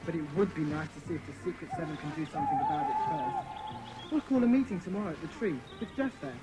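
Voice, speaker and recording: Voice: mid-range voice, huge pitch swings, quick pace, loud music behind the voice. An actor playing a bossy but kindly well-spoken British boy who leads a children's secret club in a dramatised audiobook. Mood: earnest, planning.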